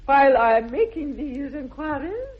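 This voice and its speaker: A woman's voice: sits high, sing-song, moderate pace. An actress playing an imperious, snobbish aristocratic English mother in a 1960s radio comedy, pompous and declamatory, with an upper-class accent. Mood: haughty, indignant. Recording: dull old radio recording.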